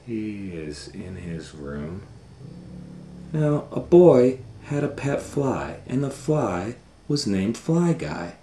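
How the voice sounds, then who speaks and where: Storytime host: man, very low voice, emphatic drawn-out read-aloud delivery, roomy echo. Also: big pitch swings, dark tone, slight background noise. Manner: drawn-out emphatic phrasing with asides. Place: indoor room, reading a book on a table. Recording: roomy, echoey indoor sound.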